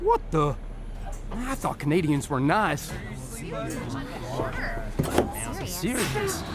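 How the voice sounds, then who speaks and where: An actor playing a pushy, mocking American tourist in a radio play. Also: male voice, high-pitched, huge pitch swings, slow, exaggerated voice. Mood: indignant. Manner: pushy, sneering. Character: brash, mocking male American cruise tourist. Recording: outdoor street and vehicle noise.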